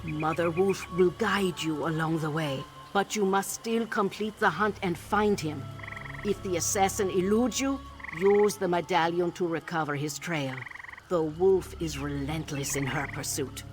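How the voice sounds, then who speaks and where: A woman playing a wise, solemn and commanding Nord shamaness in a video game, speaking in a measured, incantatory way. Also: lowish voice, background noise, lightly acted. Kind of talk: video game character voice acting. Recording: game music behind.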